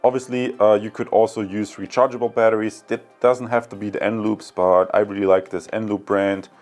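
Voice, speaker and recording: A man's voice: lowish voice, little pitch movement, normal speed, a little mellow, faint music behind. A man hosting a casual, unscripted product review, talking informally and directly to his viewers. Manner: informal, addresses viewers as guys.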